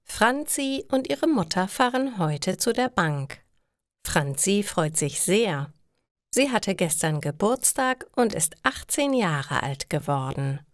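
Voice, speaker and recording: A woman narrating simple stories for language learners in slow, deliberate, scripted phrasing. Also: medium pitch, big pitch swings, dry recording, clean studio sound.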